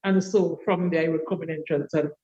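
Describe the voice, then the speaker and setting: A woman, a podcast guest telling her life story in a reflective, unhurried way. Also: deep voice, steady tone, warm tone, dull audio, slight background noise. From Dominica. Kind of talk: personal life story in an interview.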